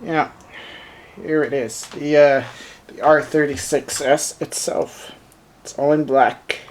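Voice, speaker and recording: A man's voice: fairly high pitch, steady tone, takes it slowly, a little hiss or hum. A man whose first language is German, speaking English with a German accent while unboxing and reviewing a handheld, thinking aloud with many fillers. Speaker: gadget reviewer.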